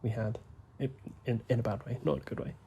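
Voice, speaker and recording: A young man, talking softly and rambling as he plays a game, full of fillers and asides. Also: mid-range voice, steady tone, creaky, lo-fi sound, a little hiss or hum. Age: young adult. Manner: soft, deliberately hushed talk with frequent fillers. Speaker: gaming YouTuber. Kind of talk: rambling gameplay commentary.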